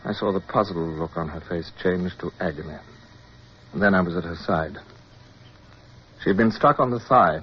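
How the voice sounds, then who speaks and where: A man's voice: low voice, moderate pace, long pauses, close to an everyday voice. An actor playing a resolute British naval officer in a radio drama, who commands and narrates his own adventure. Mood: grave narration. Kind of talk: radio drama acting and first-person narration. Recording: dull, noisy old radio recording.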